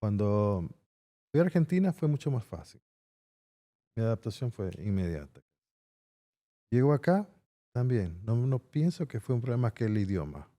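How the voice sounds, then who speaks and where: A middle-aged man from Peru, a guest answering interview questions in a plain, unhurried way. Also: mid-range voice, very animated, warm tone. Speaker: guest, construction entrepreneur. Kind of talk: casual interview conversation.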